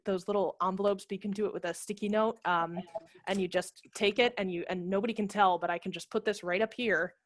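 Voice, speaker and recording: A woman's voice: medium pitch, no echo. Woman in her thirties, close dry headset. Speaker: theatre director and workshop presenter. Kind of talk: informal instructional video-call talk. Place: home room with brick wall, video call. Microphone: headset microphone.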